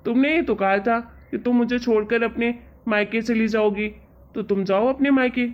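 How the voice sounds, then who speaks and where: A woman's voice: on the high side, moderate pace, lightly acted. A woman playing an exasperated, reasoning husband in an amateur cartoon story. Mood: teasing, needling. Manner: reasoning, chiding, colloquial. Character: exasperated young husband, patient and teasingly lecturing. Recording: dull, lo-fi audio.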